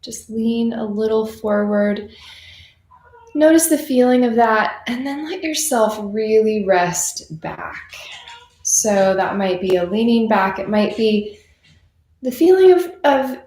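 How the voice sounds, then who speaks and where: A woman leading a guided meditation in an unhurried, conversational and reassuring manner, as a teacher would. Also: on the high side, lively intonation, darkish tone. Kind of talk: guided meditation, gentle unscripted instruction. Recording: roomy, echoing sound.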